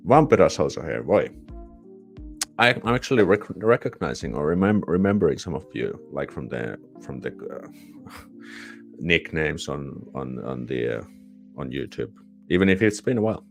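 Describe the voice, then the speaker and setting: A man's voice: rumbling low, big pitch swings, normal speed, creaky, soft background music. A man with a Finnish accent hosting a casual live-stream Q&A, chatty and rambling with false starts and self-deprecating asides. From Finland.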